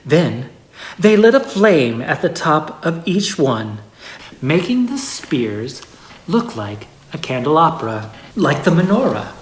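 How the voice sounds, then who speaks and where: Storyteller, medium pitch, lively intonation, measured storybook phrasing, expressive pauses, roomy echo. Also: a little hiss or hum. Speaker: story reader. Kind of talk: reading a holiday story aloud. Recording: echoey room.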